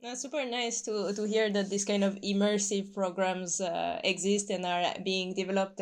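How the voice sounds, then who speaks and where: A woman hosts an interview. She introduces the guest and asks about his work, speaking in a friendly way with many filler sounds between phrases. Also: mid-range voice. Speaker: interview host. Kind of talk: hosting an interview.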